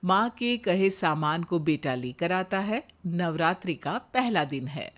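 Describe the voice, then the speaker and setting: A woman's voice: on the low side, expressive, measured pace. A woman giving scripted narration for a Hindi moral story.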